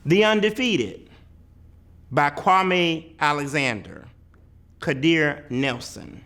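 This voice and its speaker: Male reader for a poetic picture book: higher-pitched voice, highly animated intonation, emphatic delivery with weighty pauses. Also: clear, resonant tone. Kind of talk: dramatic poetry read-aloud.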